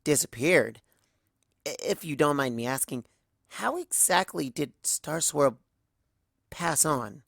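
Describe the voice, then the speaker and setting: A man's voice: on the high side, animated, slow, gravelly, pauses often, fairly bright tone, no echo, clean studio sound, voice pushed up, exaggerated voice. A man voicing an earnest, inquisitive female pony with a polite, hesitant manner in a storybook reading.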